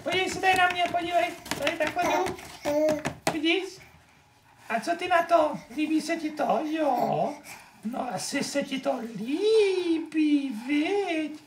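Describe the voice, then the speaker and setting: A woman's voice: sits high, close to an everyday voice. A woman voicing a cheeky, chattering little boy marionette in an improvised, playful puppet show for a small child. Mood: chatty, playful. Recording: echoey room, noisy amateur home recording.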